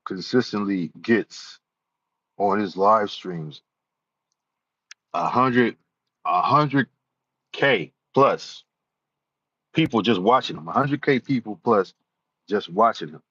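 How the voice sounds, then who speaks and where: Man, rambling vlog talk, low voice, dry dull recording. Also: expressive, slow, long pauses, bright, forceful tone, breathy edge, no echo. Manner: rambling, repeats himself, many "you know"s. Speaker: YouTuber. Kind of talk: casual talk to camera. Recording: dull lo-fi sound.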